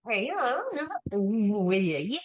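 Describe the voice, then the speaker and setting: A woman hosting a podcast and asking her guest questions in a deliberate, unhurried way. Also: medium pitch, big pitch swings, highs cut off. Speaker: podcast host. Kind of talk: interview questions.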